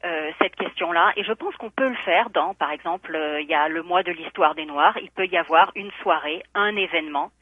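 A woman's voice: fairly low pitch, fairly quick, few pauses, dry recording. Woman calling in on a tinny phone line, earnest, lots of euh, dry sound. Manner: earnest, thoughtful, hesitant fillers. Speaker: school board candidate. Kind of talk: radio interview answers.

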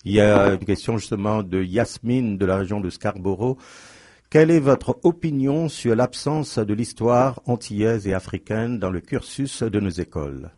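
A man's voice: lowish voice, moderate pace, mellow, no room sound. A man hosting a language radio interview, asking long questions with frequent fillers. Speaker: radio host.

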